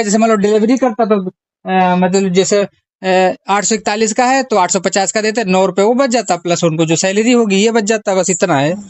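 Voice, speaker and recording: A woman's voice: medium pitch, darkish tone. Agreeable Uttar Pradesh woman on a call. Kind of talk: casual private phone conversation. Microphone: phone call recording.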